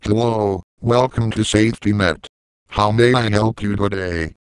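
A man's voice: fairly low pitch, steady tone, a little slow, background noise. A man reading scripted narration for a horror video in a formal, announcer-like manner. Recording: loud music over the voice. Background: loud music.

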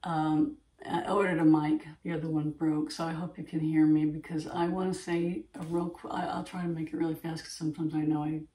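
A woman in her fifties with a gravelly voice, earnestly rambling to camera in her home art studio. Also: low voice, level tone, fairly quick, dark tone, distant mic. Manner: earnest, rambling, repeats herself. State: throat irritation, clearing throat. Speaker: artist, channel host. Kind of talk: casual talk to camera. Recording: echoey room, voice from off-mic.

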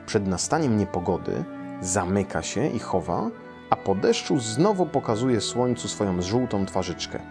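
A man voicing a patient, caring mother bear in a children's storybook, calmly explaining things to her cub. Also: lowish voice, normal speed, music in the background. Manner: calm, instructive.

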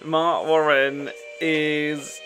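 A man's voice: fairly high pitch, little pitch movement, very slow, a bit bright, soft background music. A young man giving casual, off-the-cuff reaction commentary in a blunt, sweary manner. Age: young adult. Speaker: reaction video host. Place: small room at home, indoors.

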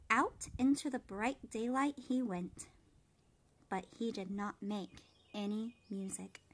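A woman in her thirties narrates a children's storybook. Her voice sits a bit high, and she reads very slowly and expressively, as a kindergarten teacher does. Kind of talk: read-aloud storybook narration for young children.

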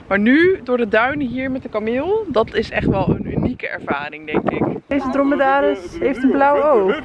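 A woman's voice: high-pitched, big pitch swings, normal speed, dull audio, very noisy. A young woman in her twenties, chatting upbeat to a handheld camera at arm's length while on holiday. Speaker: travel vlogger. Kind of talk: casual travel vlog. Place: outdoors, hotel rooms and a spa on holiday.

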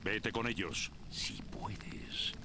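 An actor playing a stern wizard schoolteacher in a video game, with a contemptuous, drawling, menacing delivery. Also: male voice, fairly low pitch, very slow. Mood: cold disdain. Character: stern, sneering adult male wizard schoolteacher. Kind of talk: video game character acting. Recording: noisy game audio with ambience.

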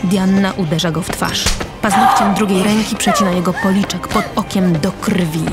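A woman's voice: medium pitch, fairly even intonation, moderate pace. A woman narrator reading the scripted stage directions of a radio drama. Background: music.

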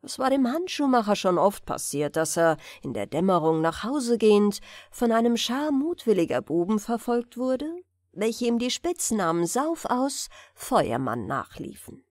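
A woman narrating a fairy-tale audiobook in an expressive, deliberate storytelling manner. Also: a little high, no room sound, studio quality.

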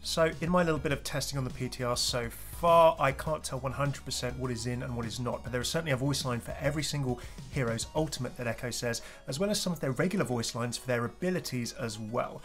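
A man's voice: mid-range voice, fast talker, a bit bright. Male gaming video host over music. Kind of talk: scripted upbeat video narration. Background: background music.

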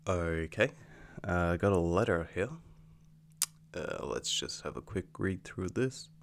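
A weary, wry werewolf boyfriend acted by a man in an ASMR roleplay. His voice sits very low and he takes his time, halting and casual as he goes. A noticeable hum runs under the recording. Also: expressive, heavily acted. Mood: relaxed, curious. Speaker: roleplay voice actor. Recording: clearly audible background hum.

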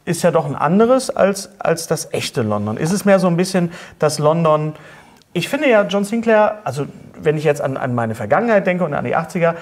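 A middle-aged man hosts a casual interview in a slightly high voice. He is chatty and jokey, wandering through his questions in a conversational way. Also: a little fast. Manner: chatty, jokey, animated gestures. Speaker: talk-show host, interviewer. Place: small dark studio set, seated at a table.

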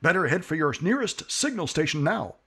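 A man announcing a 1950s-style radio commercial in a brisk, upbeat read. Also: a little high, sing-song, a bit bright, slightly rough.

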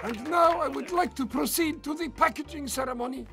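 High, florid, genial cartoon servant. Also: male voice, fairly quick, creaky, pushed and bright, soft background music, some chatter behind, exaggerated voice. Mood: grandiose, cheerful. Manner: florid, ceremonious. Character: genial loquacious servant of a desert prince. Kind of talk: cartoon character acting.

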